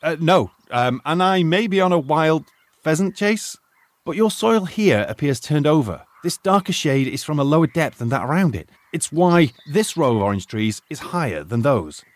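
Animated, fussy British archaeologist. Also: male voice, fairly high pitch, moderate pace, no echo. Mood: polite, persistent. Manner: muttering musings, hesitant, polite. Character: polite, sardonic retired English archaeologist, curious and fussy. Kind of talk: audio drama acting. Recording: faint ambient noise behind.

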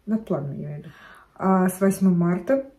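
A woman's voice: lowish voice, fairly quick, mellow. A middle-aged woman vlogging at home, chatting to camera in a chatty, digressive way and gesturing as she talks. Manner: chatty, digressive, gestures a lot while talking. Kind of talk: casual chat to camera. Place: living room at home, indoors.

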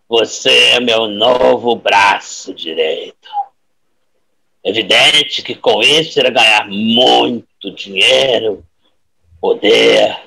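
An actor playing a menacing, calculating crime boss in an audio drama, speaking deliberately and with authority. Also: male voice, lowish voice, gravelly, pushed and bright, slightly rough, heavily acted, low-quality recording.

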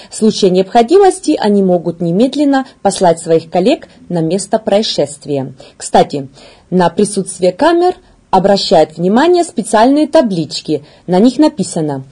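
A woman in her thirties reads scripted news as a presenter. Her voice is soft-edged and husky, with a dark, relaxed tone. Her pitch moves up and down in a very lively way as she stresses key words. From Ukraine. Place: small indoor video studio.

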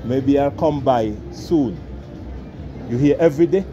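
A man's voice: medium pitch, highs cut off, music in the background. A man in his forties from the Caribbean, walking through a village market and chatting easygoingly to his camera and to passersby. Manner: slow, easygoing, friendly greetings to passersby. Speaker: travel vlogger. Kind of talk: casual walking vlog narration. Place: busy outdoor village market. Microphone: selfie camera at arm's length. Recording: street noise behind the voice.

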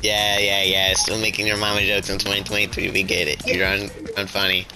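A man bantering casually in game voice chat, teasing others in a deadpan way. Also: low-pitched, a little fast, over music. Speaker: gamer trolling in voice chat.

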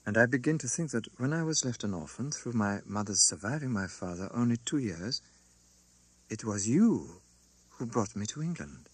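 A male narrator puts on a young gentlewoman for a classic audiobook. She is anxious and soft-spoken, pleading in formal, hesitant phrases. The voice is husky and breathy, close and dry. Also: medium pitch, expressive, normal speed, no room sound. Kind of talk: dramatised audiobook reading.

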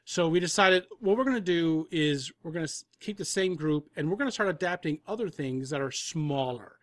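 A man's voice: on the high side, fairly quick, dry recording, clean studio sound. A man explaining his work as a guest in a casual interview, repeating words for emphasis. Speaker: audio drama producer, podcast guest.

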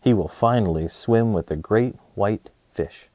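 A man in his thirties presenting and reading a children's storybook aloud in an expressive way, speaking to young listeners. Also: on the low side, measured pace, no room sound. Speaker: host of a children's read-aloud show.

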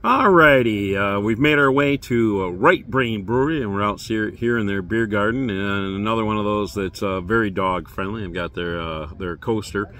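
An older man in his sixties giving a relaxed, rambling beer-garden tour, filmed on a handheld phone outdoors. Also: on the low side, normal speed, few pauses, a little hiss or hum. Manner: relaxed, rambling, many fillers. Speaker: beer review vlogger. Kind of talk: casual vlog walk-through. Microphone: phone camera at arm's length.

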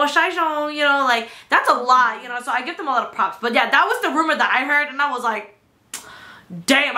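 A woman's voice: high voice, sharp tone. A young woman with an American accent chatting casually as a podcast co-host, rambling with slang and some swearing. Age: young adult. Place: small living room, on a couch. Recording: echoey living room.

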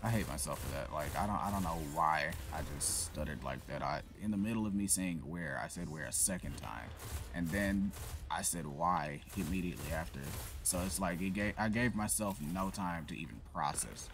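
Male gamer, low gravelly voice, swinging inflection, rambling self-mocking commentary over game music. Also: expressive, moderate pace. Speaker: gaming channel host. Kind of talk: casual gameplay commentary.

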